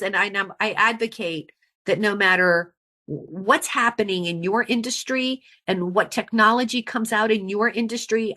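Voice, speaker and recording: A woman speaks as a podcast guest in a bright, forceful voice. Her talk is casual and frank, and she stresses her points by repeating them. She sits at a middling pitch for a woman.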